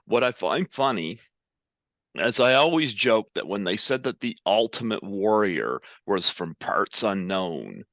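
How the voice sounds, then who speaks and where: A man's voice: fairly low pitch, very animated, unhurried, a bit bright, no echo. A man from New Brunswick, a guest in a casual interview, telling jokey stories with fillers. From New Brunswick, Canada. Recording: dry, dull lo-fi line.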